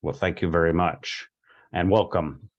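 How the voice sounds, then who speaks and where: A man chairing a local government meeting in a halting, informal, polite way. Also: low voice, fairly even intonation, highs cut off. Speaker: commission chair.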